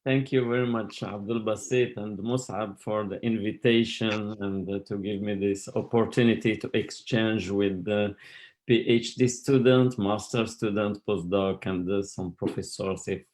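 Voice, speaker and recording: Halting Moroccan-born man giving a lecture. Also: fairly low pitch, steady tone, darkish tone. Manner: careful, halting delivery with many fillers and restarts. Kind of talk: online scientific lecture.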